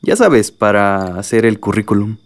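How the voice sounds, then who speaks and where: A man's voice: fairly low pitch, lively intonation, moderate pace, lightly acted. A man playing an easygoing, courteous young student in a radio drama, in a conversational way. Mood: friendly. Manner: polite, conversational.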